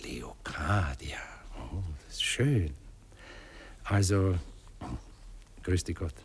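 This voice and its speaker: Husky, hesitant Viennese gentleman, wistful. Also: sits low, lively intonation, heavily acted. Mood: tender, musing. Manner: hesitant, halting, musing. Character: sentimental, muddled gentleman client, wistful and awkward. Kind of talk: naturalistic German radio play acting.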